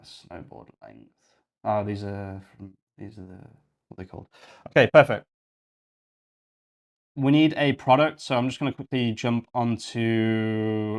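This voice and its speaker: A young man from Yorkshire, chatting casually and thinking aloud while hosting a coding livestream. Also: on the low side. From Yorkshire, England. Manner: rambling, thinking aloud while clicking around.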